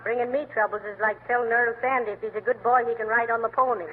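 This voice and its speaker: A woman's voice: on the high side, quick pace, natural voice. A woman voicing a dry-witted, patient wife in an old radio comedy. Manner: dry, deadpan wisecracks. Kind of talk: 1930s radio comedy acting. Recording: tinny old radio recording with loud hiss.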